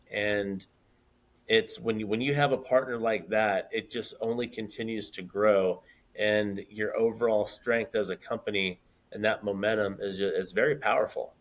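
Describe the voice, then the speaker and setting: A man in his fifties co-hosting a podcast, talking earnestly in casual chat and repeating words as he builds his points. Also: lowish voice. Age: 50s. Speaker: podcast co-host.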